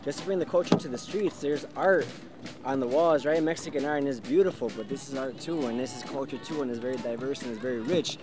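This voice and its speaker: A man's voice: medium pitch, quick pace. Young man chatting outdoors over music. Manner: relaxed, conversational, switches into Spanish. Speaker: band bass player. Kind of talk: casual interview chat. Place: outdoor basketball court, park. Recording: loud band music in background.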